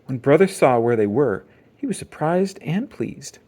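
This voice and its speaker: Children's read-aloud narrator, a parent, expressive delivery with animated, medium pitch. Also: normal speed. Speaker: storybook reader, parent.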